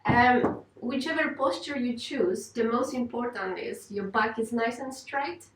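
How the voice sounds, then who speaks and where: Female yoga teacher, gentle and reassuring guided relaxation, roomy sound, distant mic. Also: a little high, measured pace. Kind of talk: guided meditation instruction.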